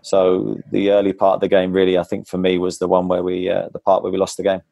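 Low gravelly-voiced man answering questions. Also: completely flat delivery, mellow, dry recording. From England. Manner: measured, hesitant, with frequent ums and restarts. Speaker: football manager. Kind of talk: post-match press conference answers. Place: club press room before a sponsor board. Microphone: wired earphone headset on a video call.

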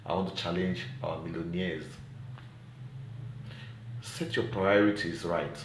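A middle-aged man with a Nigerian accent speaks earnestly to camera as an advocate, deliberate and emphatic, posing rhetorical questions. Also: deep voice, fairly even intonation. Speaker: child welfare advocate and presenter. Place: small indoor room at home. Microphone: phone at arm's length. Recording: roomy echo, faint background noise.

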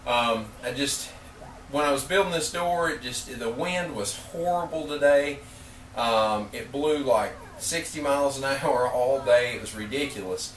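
A man's voice: medium pitch, a little slow, slight background noise. Easygoing man explaining shop work. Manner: easygoing, explanatory, with many fillers and restarts. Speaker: woodworking vlogger. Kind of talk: casual how-to narration. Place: workshop shed.

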